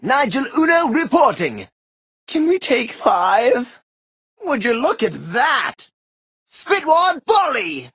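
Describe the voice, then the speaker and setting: A young boy leading a squad of kid operatives in a cartoon game. He is earnest and bossy, firing off short, melodramatic commands in a high voice that swoops widely, with slow, deliberate pacing. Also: sing-song, a bit bright, breathy edge, no room sound, clean studio sound, heavily acted. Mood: eager. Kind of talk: cartoon video game voice barks.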